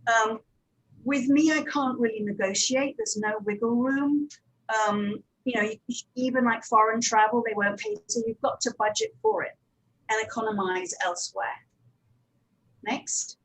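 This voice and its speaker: A woman's voice: fairly high pitch, lively intonation, measured pace, rough recording. A woman giving informal, practical advice as a speaker on a conference panel. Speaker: panel speaker, audio drama producer.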